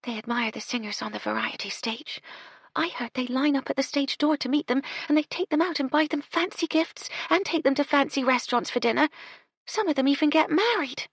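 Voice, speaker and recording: A woman's voice: sits high, a little fast, dry recording, studio quality, pitched above the performer's own voice. A woman voicing a shy, dreamy young housemaid who speaks timidly and apologetically in a raised voice.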